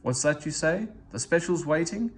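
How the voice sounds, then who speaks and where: A man voicing a brisk, dismissive railway controller in a children's story. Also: a little high, expressive, a little hiss or hum, voice pushed up.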